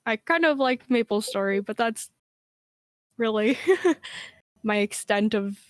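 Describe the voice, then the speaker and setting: Brief: young panelist, feminine voice, slow and halting, smooth clear tone, dry close sound. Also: medium pitch, dry recording. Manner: hesitant, many fillers and restarts. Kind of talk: casual panel discussion.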